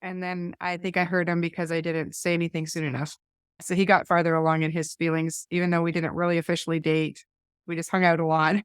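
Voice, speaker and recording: A woman's voice: fairly low pitch, smooth, no echo, studio quality. A woman hosting a faith podcast, talking casually and hesitantly, with frequent restarts and trailing thoughts. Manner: chatty, hesitant, restarts often. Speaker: podcast host.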